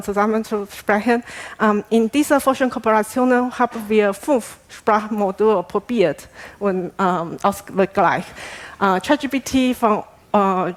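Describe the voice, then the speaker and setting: A woman researcher presents at a conference with a Taiwanese accent, a native Chinese speaker working in a second language. Her delivery is halting and hesitant, feeling its way from phrase to phrase. Her voice sits at an average pitch for a woman.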